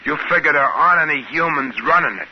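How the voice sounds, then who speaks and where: An actor playing a plain, folksy trading-post worker who also narrates a 1950s science fiction radio drama. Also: male voice, a little high, expressive, fast, a little hiss or hum, lightly acted. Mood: puzzled, doubtful. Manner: folksy, colloquial. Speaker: narrator and character. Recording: muffled old radio broadcast with music.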